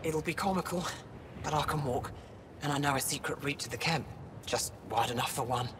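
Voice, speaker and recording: A man's voice: on the high side, fairly quick, noisy background, close to an everyday voice. An actor playing a wounded male alien scout, stubborn and loyal, speaking in a strained, plain-spoken way.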